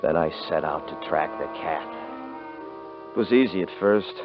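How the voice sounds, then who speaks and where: An actor playing a cocky, rough-talking frontier hunter who also narrates, in a 1950s radio drama. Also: male voice, a bass voice, moderate pace, over music. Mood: grim narration. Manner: rough rural grammar, boastful. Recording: muffled vintage radio recording.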